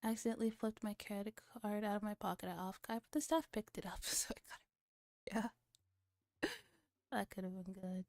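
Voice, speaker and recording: A woman's voice: medium pitch, moderate pace, a little mellow, no echo, clean studio sound. A woman streamer chatting casually and playfully, often giggly, between bits of reading a visual novel aloud. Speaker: VTuber streamer, host.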